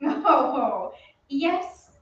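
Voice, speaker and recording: A woman's voice: high-pitched, sing-song, very slow, fairly bright tone, full character voice. A woman playing a haughty, commanding lady of the Paris opera in an old-time radio drama, with theatrical delivery. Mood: defiant, alarmed. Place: theatre stage. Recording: very echoey stage, distant, dull, noisy.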